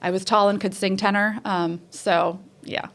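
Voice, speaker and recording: A woman theater professor with a lower voice, telling stories in a casual interview, chatty and full of fillers and restarts. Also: fairly even intonation, unhurried, a bit bright. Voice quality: says she has a lower voice.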